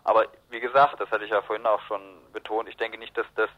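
A man's voice: fairly low pitch, little pitch movement, fast. A man giving analytical, discursive political commentary as a guest in a radio interview. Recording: roomy, muffled radio interview.

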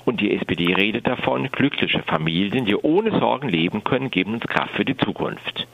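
A man's voice: lowish voice, very animated, a little fast, creaky, dull audio. A man giving a political appeal in a radio interview as a refugee rights advocate, speaking insistently and emphatically.

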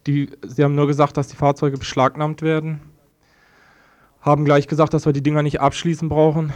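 A man giving a spontaneous first-hand account as an interviewee, haltingly and with repeated words. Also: on the high side, a little mellow. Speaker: eyewitness interviewee, affected resident.

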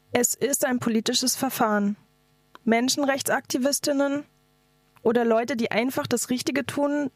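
A woman's voice: fairly high pitch, no echo. A woman activist reading out a scripted political speech. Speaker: activist speaker.

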